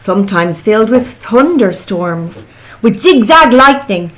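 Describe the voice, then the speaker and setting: Slow, sing-song woman reading a story. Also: medium pitch, sing-song, a bit bright, poor audio. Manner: deliberate, dramatic phrasing for young children. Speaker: volunteer storyteller. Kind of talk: expressive children's storybook reading.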